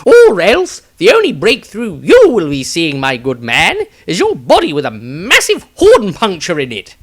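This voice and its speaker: High-pitched male wizard, theatrical, teasing, excitable, swooping intonation, fantasy storybook. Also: measured pace, heavily acted. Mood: amused teasing. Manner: exclamatory, mocking, animated.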